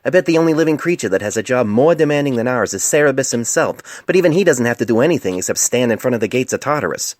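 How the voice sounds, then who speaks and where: A man's voice: medium pitch, animated, fast, few pauses, no echo, pitched above the performer's own voice, close to an everyday voice. A man voicing a weary, sarcastic young male pony doctor in an amateur fan fiction reading. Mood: defensive. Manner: sardonic, indignant.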